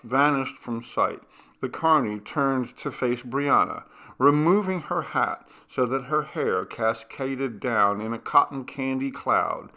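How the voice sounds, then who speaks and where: A man's voice: medium pitch, bright, forceful tone. A man narrating a ghost story as a scripted, dramatic audiobook reading.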